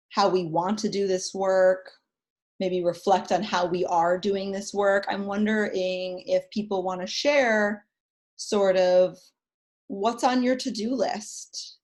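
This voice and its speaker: Chatty woman hosting an online webinar. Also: medium pitch. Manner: chatty, animated storytelling with lots of fillers. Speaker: therapist leading a webinar. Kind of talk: webinar talk. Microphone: video call.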